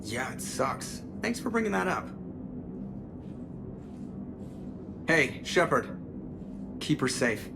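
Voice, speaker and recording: A man's voice: on the high side, sing-song, measured pace, gravelly, music in the background, heavily acted. A man playing a wisecracking, protective spaceship pilot in a video game, informal and sardonic in his delivery. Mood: bitter, protective.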